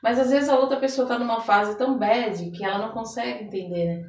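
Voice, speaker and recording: A Brazilian woman chatting as a podcast guest in an expressive, reflective and emphatic way. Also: a little high, brisk. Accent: Brazilian Portuguese. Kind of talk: casual podcast conversation. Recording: echoey room, distant microphone.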